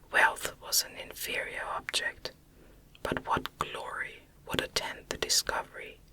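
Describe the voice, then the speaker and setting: A woman reading a classic novel as ASMR narration in a soft, breathy, unvoiced whisper, hushed and measured, close to the microphone. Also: rough recording.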